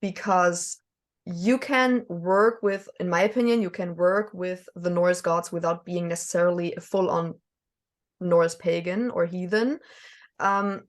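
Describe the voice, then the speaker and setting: A woman's voice: on the low side, fairly even intonation, a little slow. A woman from Germany with a German accent, talking as a podcast guest, hesitant with many fillers and small laughs. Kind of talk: casual conversation.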